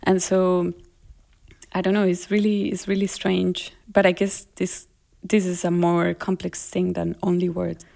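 Colombian-accented woman musician, native Spanish speaker, lowish gravelly voice, hesitant and thoughtful in interview. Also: flat delivery, unhurried, mellow. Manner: thoughtful, hesitant, laughs often. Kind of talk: interview conversation.

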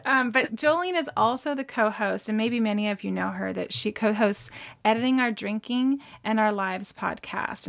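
A woman's voice: medium pitch, vocal fry, a bit bright. A woman in her forties co-hosting a casual podcast interview, bubbly and gushing, laughing often. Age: 40s. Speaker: podcast co-host.

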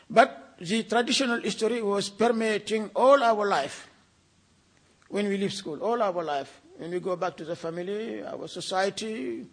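An older man from Senegal, giving a deliberate, reflective talk and repeating words for emphasis. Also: high-pitched, slightly rough. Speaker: speaker.

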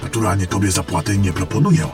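A man's voice: low voice, animated, quick pace. A man playing a mysterious, sly stranger in a fantasy audio drama, insinuating and smug. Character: mysterious, sly stranger trading secret information. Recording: music under the voice. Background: music.

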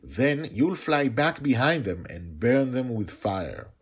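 A man voicing a bold, excitable five-year-old girl adventurer in an audio story, eager and exclamatory. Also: medium pitch, slow pace, breathy edge, pitched above the performer's own voice, full character voice. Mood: confident, bossy.

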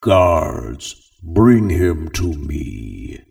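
A man voicing a huge, arrogant male slug crime lord in a deep, slow, booming voice. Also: sing-song, gravelly, a little mellow, recorded from a distance, heavily acted. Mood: furious.

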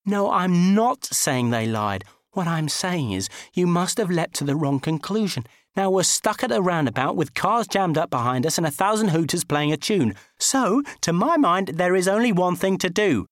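A man's voice: sits high, lively intonation, normal speed, hardly any pauses, no room sound, studio quality, voice pushed up. A man playing an exasperated, sarcastic divorced man who nags and scolds emphatically in comic audiobook dialogue.

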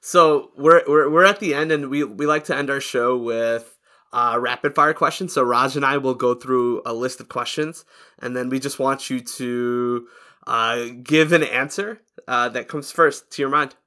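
A man's voice: mid-range voice, unhurried, bright, forceful tone. A man co-hosting a podcast, upbeat and jokey, laughing a lot as he talks. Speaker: podcast co-host. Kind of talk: casual podcast chat.

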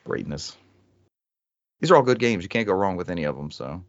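A man's voice: low voice, very animated, brisk, dry recording, lo-fi sound. A man hosting a gaming podcast with casual, joking banter and some swearing. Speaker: podcast host.

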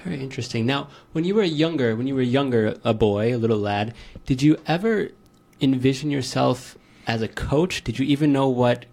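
A man's voice: mid-range voice, moderate pace, gravelly, darkish tone. Chatty, friendly male radio host. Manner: friendly, joking, rephrases his questions. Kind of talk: casual interview.

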